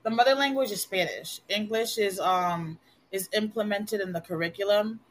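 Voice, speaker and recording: A woman's voice: medium pitch, moderate pace, low-quality recording. A woman from Puerto Rico hosting a live online show, chatting casually and rambling with frequent laughs. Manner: rambling, animated, laughs often. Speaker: livestream host. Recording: loud music behind the voice. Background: music playing.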